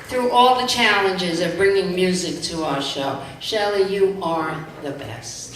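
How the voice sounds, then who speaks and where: Woman director addressing a live audience with thanks, unhurried and emphatic, distant in a noisy, booming hall. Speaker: amateur theatre writer and director. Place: large hall, live stage event. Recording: very echoey noisy hall, far off.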